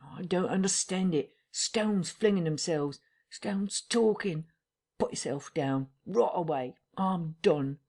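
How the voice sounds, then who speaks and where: A woman's voice: takes it slowly, warm tone. A woman reading an audiobook voices a bewildered, easily rattled male tramp who exclaims in slang. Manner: exclaiming, slangy, high and drawn out. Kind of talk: audiobook character voice.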